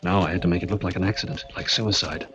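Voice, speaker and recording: A man's voice: low voice, fairly even intonation, fast talker, dull audio. An actor in a 1940s radio drama playing a fevered, murderous man sliding into madness, with melodramatic delivery. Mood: eerie, hushed. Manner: melodramatic, swinging from hushed to frantic. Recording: old radio broadcast, organ music behind.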